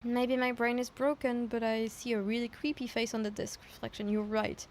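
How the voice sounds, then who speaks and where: A woman's voice: fairly high pitch, level tone, normal speed. A woman chatting casually on a livestream, hesitant and thinking aloud as she repeats herself. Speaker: streamer reacting to horror videos.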